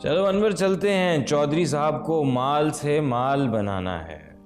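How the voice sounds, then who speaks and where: An actor playing a sardonic, world-weary writer in a television period drama, with dry, courteous irony. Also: male voice, on the high side, lively intonation, slow pace, soft background music. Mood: pointed sarcasm. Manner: dry, ironic, courteous sarcasm. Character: sardonic, world-weary male Urdu short-story writer.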